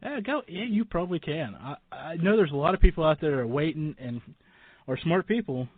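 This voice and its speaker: A man hosting a radio show in a casual, rambling, informal way with halting starts. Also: on the high side, lively intonation, slightly rough. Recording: boxy phone-like line with room echo.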